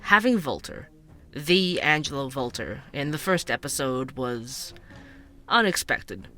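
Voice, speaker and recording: A man's voice: medium pitch, animated, unhurried, sharp tone, a little hiss or hum. A man playing an earnest night-shift worker turned investigative podcaster, narrating a scripted show in a confiding, musing way. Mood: confiding, wry. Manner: musing, confiding, addresses listeners directly. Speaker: podcast host.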